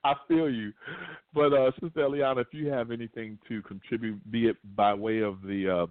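A man chatting on a call-in talk show, halting and filler-heavy, laughing easily. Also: medium pitch, steady tone, no echo. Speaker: call-in participant. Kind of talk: casual talk-show chat. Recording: tinny phone line.

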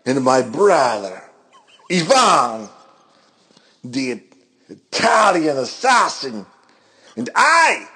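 A man's voice: mid-range voice, very animated, very slow, pauses often, sharp tone, slightly rough, pitched below the performer's own voice, full character voice. A man doing a boastful Russian heel wrestler. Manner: put-on Russian accent, broken English, grandiose threats. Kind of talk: mock wrestling promo.

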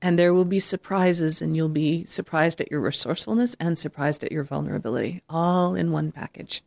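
A dry, close studio sound frames a woman with a slightly low voice. She hosts an interview show and talks in a conversational radio style. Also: brisk, vocal fry, darkish tone, no echo.

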